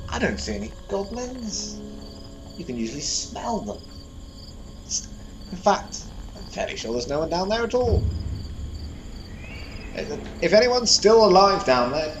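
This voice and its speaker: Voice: mid-range voice, a bit bright. An actor playing a cocky, wry sword-fighting mage in a fantasy audio drama, speaking casually and boastfully. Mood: puzzled. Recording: noisy night-time cricket ambience behind.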